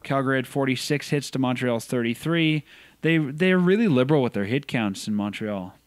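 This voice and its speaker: A Canadian man co-hosting a podcast, chatting casually and reacting quickly to his co-host. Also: medium pitch, lively intonation, no echo. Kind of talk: casual sports chat.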